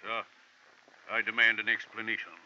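A man playing a blustering, pompous older gentleman colonel with florid speech in a 1940s radio drama. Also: lowish voice, completely flat delivery, moderate pace. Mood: flustered, indignant. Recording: muffled vintage radio recording, faint noise.

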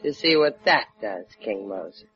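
A woman's voice: extremely low pitch, animated, a little slow, loud music behind the voice. A woman playing a wry, devoted young adventuress in a 1950s radio drama, with a teasing, laconic way of talking. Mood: wry, cool. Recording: dull old radio recording.

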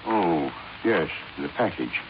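An old, noisy radio recording carries a wealthy older man. He is curt and oddly distracted, pressing his point in halting, repetitive phrases as in a 1950s drama. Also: low-pitched, lively intonation, highs cut off. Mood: dazed insistence.

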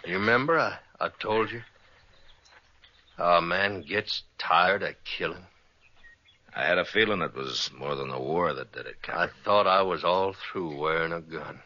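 An actor playing a grave, world-weary man of the Old West in a radio drama, with a gruff voice. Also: low voice, moderate pace, dry recording. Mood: weary, resigned. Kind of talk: 1950s radio western acting. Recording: muffled old radio recording.

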